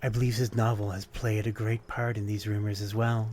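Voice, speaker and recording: A man's voice: on the low side, flat delivery, brisk, a little mellow, soft background music, lightly acted. A man playing a melancholy, courtly vampire in an intimate ASMR roleplay, speaking politely in old-fashioned phrasing with a predatory undertone. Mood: quietly menacing. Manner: old-fashioned, polite, brooding, addressing one listener intimately. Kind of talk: ASMR roleplay, dramatic monologue.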